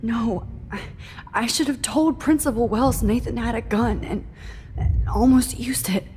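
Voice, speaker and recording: An actress playing an earnest, anxious teenage girl who speaks softly and hesitantly in a video game drama. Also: on the high side, measured pace, slight background noise, lightly acted. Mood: gentle, regretful. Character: earnest teenage girl photography student, soft-spoken and anxious. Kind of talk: naturalistic video game cutscene acting.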